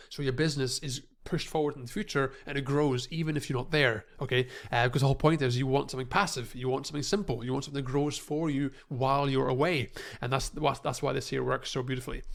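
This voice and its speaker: Man, 30s, gravelly edge, a bit nasal from a cold, rambling coaching tone, desk mic in small home office. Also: medium pitch, fairly bright tone. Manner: repetitive, lots of fillers and restarts, addresses viewers as guys. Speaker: online business coach. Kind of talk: casual screen-share tutorial. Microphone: desk microphone in front of him.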